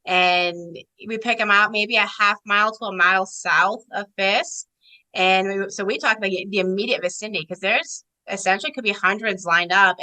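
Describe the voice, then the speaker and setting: A woman speaks as an interview guest, explaining her work in an informal, conversational way. Her voice is bright and forceful, sitting at an average pitch. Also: poor audio. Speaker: air traffic controller, interview guest.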